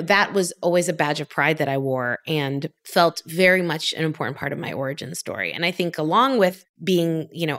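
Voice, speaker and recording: A woman from Miami with a notably low voice talks through her childhood as a podcast guest. She is in her thirties or forties, tells her story in an animated way, laughs easily and throws in quick asides. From Miami, United States. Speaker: guest, author. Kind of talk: casual interview storytelling.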